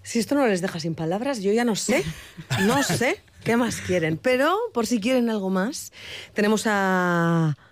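Woman co-host, animated intonation, dry close mic, mid pitch. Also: normal speed, no echo. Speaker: radio host. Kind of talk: scripted introduction and backchannel.

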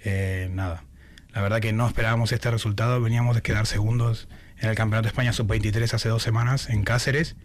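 Low-voiced young man from Argentina. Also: fairly even intonation, fast talker. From Buenos Aires, Argentina. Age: young adult, about 19. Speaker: athlete guest, shot putter. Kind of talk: casual interview talk.

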